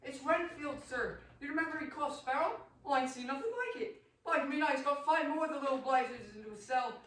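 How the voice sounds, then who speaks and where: A woman playing a superstitious, deferential working-class asylum attendant, colloquial and animated, in a radio play. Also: high voice, fast. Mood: relishing, spooked. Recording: very echoey room, distant mic, faint noise.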